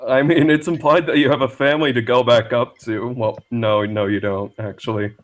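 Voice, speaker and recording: A man chatting casually as a guest on a live stream, speaking in a hesitant, halting way. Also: mid-range voice, fairly even intonation. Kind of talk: casual chat.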